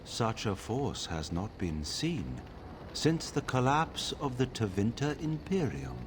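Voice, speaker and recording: A solemn mage from a fantasy game, formal and without emotion. The words come slowly, over clearly audible noisy ambience. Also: male voice, mid-range voice, very animated. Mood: emotionless, solemn. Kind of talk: fantasy video game dialogue.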